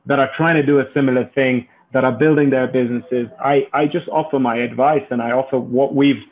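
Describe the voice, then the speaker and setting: A man from Kenya giving earnest, halting, conversational interview answers as an entrepreneur and guest. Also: medium pitch, steady tone. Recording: thin phone-like call audio.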